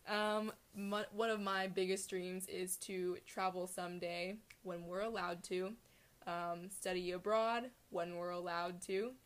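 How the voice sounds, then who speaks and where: Young American woman, monotone casual chat. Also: lowish voice. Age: eighteen. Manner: monotone, self-deprecating. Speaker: podcast co-host.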